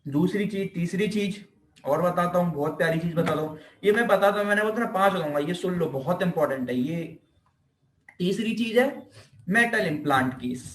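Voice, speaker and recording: An Indian-accented man with a high voice teaches in an informal, explanatory way. He stresses points by repeating them and throws out rhetorical questions. The room echoes around him and the microphone sounds some way off. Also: moderate pace, distant mic. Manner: emphatic, repeats key phrases, asks rhetorical questions; mixes in English technical terms. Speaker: physiotherapy lecturer.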